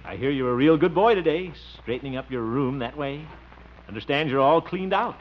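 A man's voice: medium pitch, expressive, fairly quick, natural voice. An actor playing a genial, bumbling grown man who runs an amateur circus, in 1950s radio comedy style, showy and easily flustered. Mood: sheepish, genial. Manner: showy announcing, flustered exclamations. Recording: muffled, hissy old radio recording.

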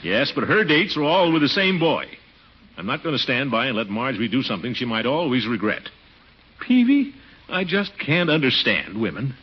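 An American actor playing a pompous, fussy, easily flustered middle-aged uncle in a 1940s radio comedy. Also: male voice, medium pitch, big pitch swings, moderate pace, husky. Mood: confiding. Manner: pompous blustering, stammers and chuckles when flustered. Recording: muffled, noisy 1940s radio recording.

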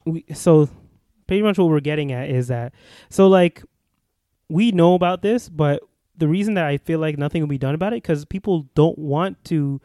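A young man with a mellow, slightly higher voice talks earnestly with a friend. He restarts his sentences and hedges often as he works through an idea. The recording is dry and close, with no room sound. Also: normal speed, no room sound. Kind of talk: casual chat.

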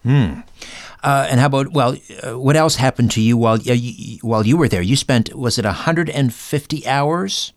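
A man hosting a conversational interview, putting his questions in halting, deliberate phrases. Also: mid-range voice, dry recording, studio quality. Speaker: interview show host.

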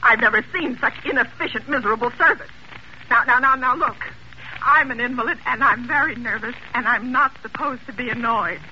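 A woman's voice: fairly high pitch, brisk, bright, forceful tone. An actress playing a nervous, demanding bedridden invalid woman in a 1940s radio thriller, indignant and melodramatic. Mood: exasperated. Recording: noisy, muffled old radio broadcast recording.

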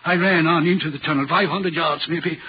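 A man's voice: a little high, steady tone, brisk, breathy edge. An actor playing an anxious, troubled railway signalman in an earnest, dramatic 1940s radio horror style. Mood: tense recounting. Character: haunted, anxious railway signalman telling of a spectre. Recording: dull, muffled 1940s radio recording.

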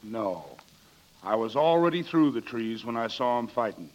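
An actor playing a defensive, lying witness in a 1940s radio drama, curt and apt to stammer under pressure. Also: male voice, medium pitch, lively intonation, normal speed, natural voice. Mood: curt, guarded. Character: defensive, lying male murder witness under cross-examination. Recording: dull, band-limited 1940s radio recording.

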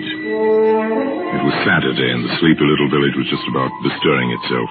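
Very deep-voiced radio mystery narrator. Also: male voice. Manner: measured, dramatic, deliberate. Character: grave storyteller of a police murder mystery. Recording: old tinny radio recording, loud music.

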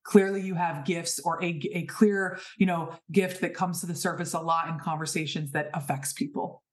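A woman in her thirties speaking in a fairly low register. She gives an inspirational spiritual monologue, earnest and emphatic, and leans on repeated rhetorical questions. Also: fairly even intonation, normal speed. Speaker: podcast host.

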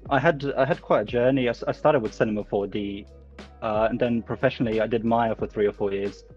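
Man from Lithuania, guest artist, relaxed, modest, muffled call audio, faint music. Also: medium pitch, quick pace. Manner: relaxed, modest, laughs often. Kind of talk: casual interview.

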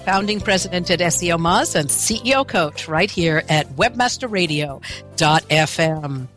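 A woman hosting a radio interview, upbeat and brisk, her voice a bit scratchy this morning. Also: lowish voice, expressive, fairly bright tone, soft background music. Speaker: radio show host.

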